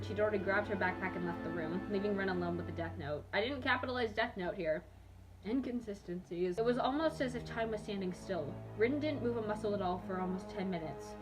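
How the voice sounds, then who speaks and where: Casting: young adult female host for amused dramatic readings, mid-pitched, self-mocking, over clear background music. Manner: self-mocking, breaks into asides and laughter. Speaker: host reading own old fanfiction.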